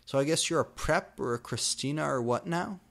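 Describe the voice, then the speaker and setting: A man voicing a melodramatic, petulant teenage goth girl in a story reading, exclaiming loudly. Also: a little high, moderate pace, creaky, pitched above the performer's own voice. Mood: indignant.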